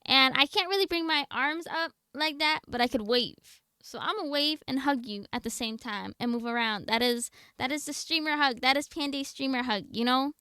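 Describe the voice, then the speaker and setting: A woman's voice: high-pitched, moderate pace, hardly any pauses, sharp tone, clear, resonant tone, no echo, studio quality. A woman streaming a video game, chatting casually with viewers in a playful, teasing way. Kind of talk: casual live stream chat while playing. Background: game music.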